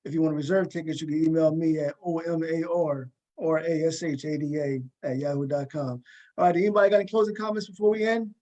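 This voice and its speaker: A man with a fairly high-pitched voice works as a talk show host. He interviews guests casually. He tends to double up short phrases as he acknowledges answers. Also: fairly even intonation, quick pace, warm tone. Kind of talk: casual interview with cast members.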